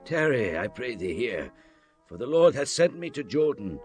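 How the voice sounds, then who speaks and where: An actor playing a stern prophet of God in a dramatized scripture reading, solemn and declamatory in archaic phrasing. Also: male voice, mid-range voice, very animated, moderate pace, soft background music, close to an everyday voice. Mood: solemn, gentle.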